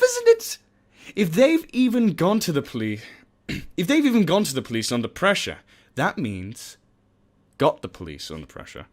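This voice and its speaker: A theatrical, paranoid mad scientist put on by a man reading a game aloud who declares a conspiracy in a loud, shrill voice. His pitch jumps far above the reader's own and swings about wildly. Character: grandiose, paranoid young male mad scientist.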